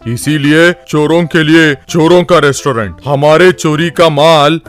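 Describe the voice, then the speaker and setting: A man voicing a scheming, blustery gang boss of thieves with emphatic exclamations in an animated comedy story. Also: on the high side, a little slow, faint music behind, natural voice. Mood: confident.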